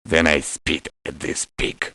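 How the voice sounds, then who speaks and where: A man's voice: slow pace, sharp tone. A man voicing a hostile, greedy video game mercenary who speaks blunt, broken English. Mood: greedy, threatening. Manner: broken English, blunt short phrases, contemptuous. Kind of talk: video game character voice lines.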